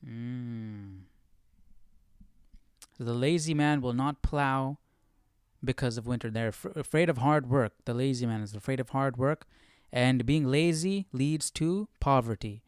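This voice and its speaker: A man's voice: mid-range voice, measured pace, clean tone, dry recording, clean studio sound. A man hosting a live study stream, thinking aloud in casual commentary and often repeating and rephrasing himself.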